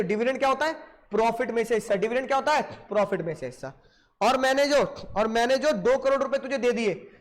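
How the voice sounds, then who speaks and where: A male teacher with a slightly sore throat lecturing students directly, repeating key phrases for emphasis. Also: very high-pitched. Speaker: commerce teacher. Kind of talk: animated classroom lecture in Hindi-English mix.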